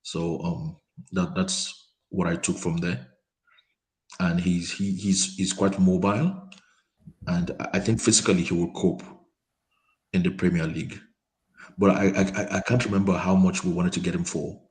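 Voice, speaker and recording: Hesitant deep-voiced man on a call. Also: fairly even intonation, moderate pace, pauses often, a little mellow. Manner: hesitant, repeats words and says um often. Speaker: panel guest. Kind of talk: casual football chat. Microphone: remote call mic, quiet.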